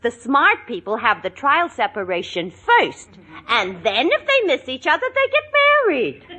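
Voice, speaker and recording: A woman's voice: high voice, huge pitch swings, normal speed, sharp tone, heavily acted. An actress playing a brash, wisecracking, man-weary single woman in 1940s radio comedy style. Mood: knowing advice. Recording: noisy 1940s radio with studio audience.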